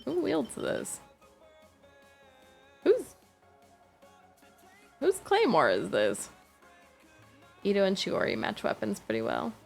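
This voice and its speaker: A woman livestreaming a game with casual, rambling commentary, thinking aloud in a halting way and questioning herself. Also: mid-range voice, wildly swooping pitch, gravelly, faint music behind.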